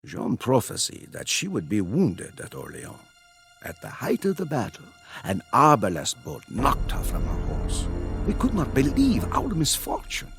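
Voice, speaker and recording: An actor playing a weary, devoted soldier, telling of a war campaign in solemn, theatrical game narration. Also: male voice, medium pitch, huge pitch swings, normal speed, vocal fry, exaggerated voice. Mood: stirring. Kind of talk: dramatic scripted storytelling narration. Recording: loud music under the voice. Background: loud music.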